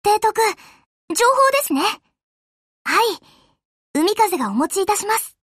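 A gentle young warship girl from an anime game, devoted and courteous to her admiral. Her voice is extremely high and bright. She speaks at an unhurried pace with a polite, deferential manner. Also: very animated, no room sound, clean studio sound, heavily acted. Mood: eager, courteous. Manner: soft-spoken, courteous, deferential. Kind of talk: Japanese anime game voice acting.

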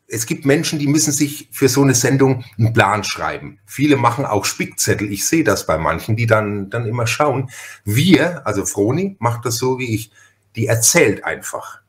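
A man hosting a podcast interview in a casual, chatty and wordy way, often interrupting himself and repeating words. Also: mid-range voice.

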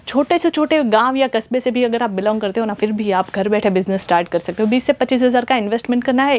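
A young adult woman hosting a clothing shop video, delivering an upbeat, repetitive sales pitch while showing garments in a large store. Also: darkish tone. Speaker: shop host presenting clothing. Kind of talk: fast sales pitch to camera.

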